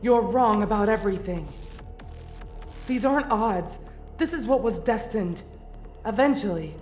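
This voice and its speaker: A woman voices a cold young female warrior bent on revenge in an anime fan drama. Her delivery is deliberate and accusing at a middle pitch. The sound is echoey, with light music behind. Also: natural voice. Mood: cold, resolute.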